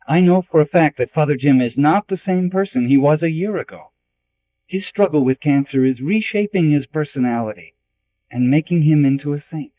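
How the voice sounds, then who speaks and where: A middle-aged man with a warm, relaxed tone narrates a reflective audiobook text. He speaks earnestly, like a preacher, leaving deliberate pauses. The recording is dry, with very little room sound. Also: on the high side, no echo, poor audio. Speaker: Jesuit priest and spiritual director reading a book foreword.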